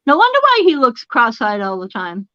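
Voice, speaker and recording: A woman's voice: on the high side, wildly swooping pitch, moderate pace, sharp tone, lo-fi sound. A woman in her sixties with a Boston accent, teasing a friend in casual chat over a video call. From Massachusetts. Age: 60s. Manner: teasing, wisecracking.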